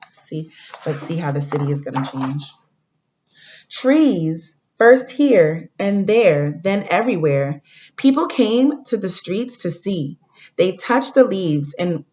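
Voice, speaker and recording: A woman teacher giving a read-aloud lesson to young pupils, speaking in a deliberate way and addressing the children directly. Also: fairly low pitch, a little mellow. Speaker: elementary school teacher. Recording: dull lo-fi recording.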